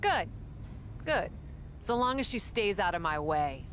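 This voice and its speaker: A woman's voice: mid-range voice, sing-song, measured pace. A woman playing a tough, abrupt, ruthless wrestling faction leader in video game cutscenes, speaking in a curt, hard-edged way. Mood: defensive, hard. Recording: game audio with clear background noise.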